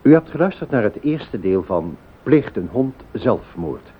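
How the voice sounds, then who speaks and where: A man's voice: medium pitch, very animated, measured pace. A man announcing the closing credits of a radio play in a scripted style. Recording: tinny, narrow-band old radio recording.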